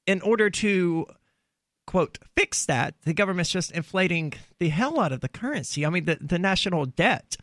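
Man, radio host, opinionated, sarcastic, high voice, dry close studio mic. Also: animated, normal speed, a bit bright, no room sound. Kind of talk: opinionated talk radio chat.

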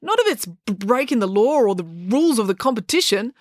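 A woman's voice: a little high, very animated, normal speed, no room sound, studio quality, voice pushed up. A woman voicing a tall, reluctant schoolboy who stammers and protests with exasperated disbelief in a children's storybook. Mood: protesting, exasperated.

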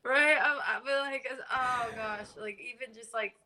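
A woman guest talks on a podcast over a video call. Her voice sits a bit high and sounds bright. She jokes around and agrees easily with the host. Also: unhurried, slight background noise. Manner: playful, agreeable banter. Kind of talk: casual podcast chat.

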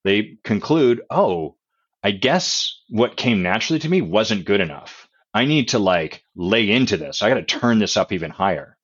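A man, the author and guest, explaining his concepts in a relaxed podcast chat with examples and analogies, with a loud voice. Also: lowish voice, normal speed, slightly rough, lo-fi sound.

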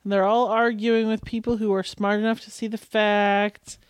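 A woman in a mid range co-hosts a casual podcast. She answers with dry, sarcastic, deadpan retorts. Her voice is recorded close and dry. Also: mid-range voice, moderate pace, clear, resonant tone, no echo. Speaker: podcast co-host. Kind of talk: casual chat.

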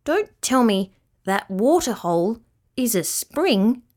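A fretful little storybook rat, read by a woman, naive and quick to take offence. His plaintive voice sits high and rises and falls a lot. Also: sing-song, a little mellow. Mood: dismayed. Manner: plaintive and indignant. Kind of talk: children's storybook character voice.

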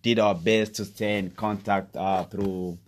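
A young Rwandan man with a fairly low voice talks as a podcast guest. He is hesitant and fills his pauses with many "uh"s, in relaxed conversational English spoken as a second language, Kinyarwanda being his first. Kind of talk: casual interview chat.

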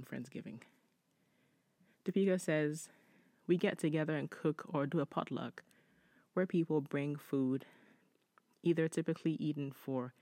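A man speaks as a podcast host. His voice has a creaky, gravelly edge and sits in a dry, close sound. The delivery is casual and conversational, like someone sharing stories with regular listeners. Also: medium pitch, darkish tone, no echo. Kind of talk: casual chatty hosting, reading out listener stories.

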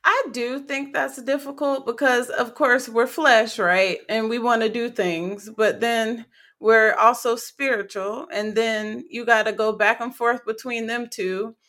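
A young woman hosting a faith-based podcast interview, with a raspy, hoarse voice, talking excitedly with lots of fillers and frequent laughter. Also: a little high, a little slow. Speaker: podcast host.

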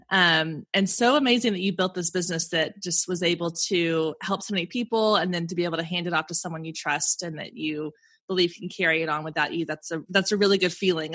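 A woman's voice: fairly low pitch, sharp tone. A woman hosting a business podcast, introducing and interviewing her guest with enthusiastic, effusive praise. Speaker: podcast host.

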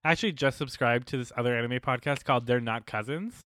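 A man's voice: medium pitch, fast talker, pushed and bright, dry recording. A man co-hosting a podcast in casual chat, wry and teasing. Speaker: podcast co-host.

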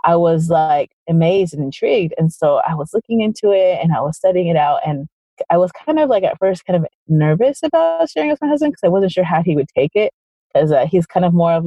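A woman's voice: fairly low pitch, dark tone, dry recording. A woman who was born in Chile and raised in the United States, a guest telling her story in a rambling, casual way with lots of fillers. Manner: rambling, lots of "kinda" and "like", quotes her own thoughts. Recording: dull, dry sound.

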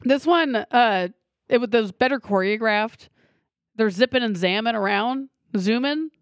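A woman's voice: a little high, measured pace, no room sound. Sick woman co-host, dry close sound. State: losing her voice, sick. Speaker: podcast co-host. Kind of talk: casual movie discussion.

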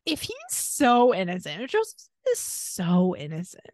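Animated American woman chatting on a podcast. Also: on the low side, moderate pace, no room sound, studio quality. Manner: irreverent, exasperated storytelling with emphatic asides. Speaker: podcast co-host. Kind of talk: casual podcast chat.

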